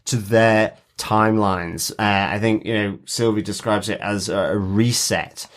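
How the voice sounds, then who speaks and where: A man co-hosting a podcast, talking through a TV recap in a casual, halting, measured way. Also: on the low side, steady tone. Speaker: podcast co-host.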